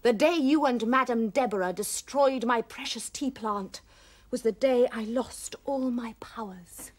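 Woman playing a cartoon villain queen, imperious, hissing whispers, fairly high voice. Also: moderate pace, natural voice. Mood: gloating. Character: haughty tyrannical queen, power-hungry villain. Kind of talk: cartoon voice acting.

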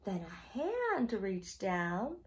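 A young adult woman reading a picture book aloud to children as a storytime host, in an expressive manner that speaks directly to young listeners. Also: medium pitch, extremely slow. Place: small room at home, indoors.

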